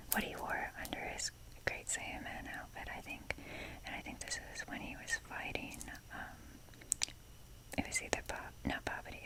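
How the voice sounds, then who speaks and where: A young adult woman whispering in a breathy, casual, rambling ASMR style as she shows things off. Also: poor audio. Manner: unhurried, informal, rambling. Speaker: ASMR video creator.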